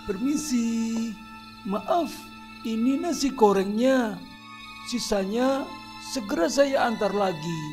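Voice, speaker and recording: A man voicing a ghostly fried-rice seller who speaks slowly and quietly. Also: extremely high pitch, lively intonation, faint music behind, full character voice. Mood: eerie. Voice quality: slow and hushed.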